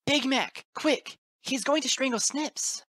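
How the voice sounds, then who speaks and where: A woman's voice: on the low side, drawn-out words, fairly bright tone, dry recording, voice pushed up, exaggerated voice. A woman voicing a caring, emotional female pony schoolteacher in a storybook reading, earnest and tender. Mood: alarmed, shrieking. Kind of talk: dramatic audiobook character acting.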